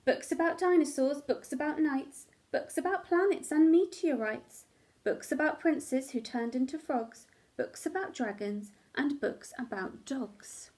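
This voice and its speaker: High-voiced woman reading a children's storybook. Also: measured pace. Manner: animated, dramatic storytelling for young children. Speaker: teacher reading a children's picture book aloud. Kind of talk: expressive storybook narration.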